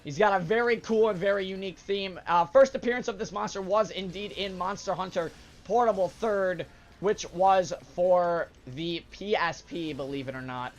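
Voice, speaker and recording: Hoarse young man narrating gameplay. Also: sits high, moderate pace, lo-fi sound. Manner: casual, upbeat explanatory, frequent "uh" fillers. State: hoarse, sore throat. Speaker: gaming channel host. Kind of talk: let's play commentary. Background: game music.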